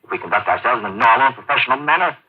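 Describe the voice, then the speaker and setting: A scheming, self-possessed doctor in an old radio thriller. He argues with theatrical emphasis, in a husky voice that rises and falls widely. The sound is dull and lo-fi. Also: medium pitch, expressive, very fast. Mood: controlled, chiding. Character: cool, controlled male doctor, a conspiring enemy agent. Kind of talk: old-time radio drama acting. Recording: muffled old radio recording.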